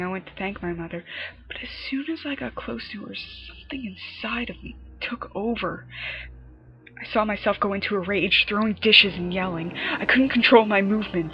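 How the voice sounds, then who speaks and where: A woman narrating a scripted horror story in a dramatic manner, her phrases often dropping into a breathy hush. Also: medium pitch. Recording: muffled lo-fi home recording, music behind. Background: background music.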